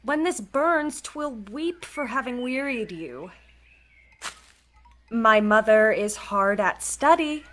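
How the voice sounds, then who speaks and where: An actress playing an innocent, tender-hearted, sheltered young maiden in a Shakespeare radio play, earnest and pleading. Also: female voice, fairly high pitch, lively intonation, slow pace, fairly bright tone, slight background noise. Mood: tender concern.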